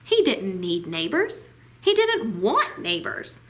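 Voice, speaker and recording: Slow, expressive woman reading a storybook. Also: mid-range voice. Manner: deliberate, dramatic phrasing. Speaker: library storytime reader. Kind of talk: expressive children's picture book reading.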